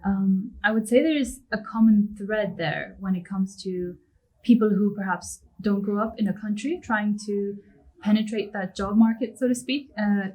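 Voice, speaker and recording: A young woman from Pakistan speaking on a panel, measured and articulate. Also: mid-range voice, warm tone, low-quality recording. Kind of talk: panel discussion.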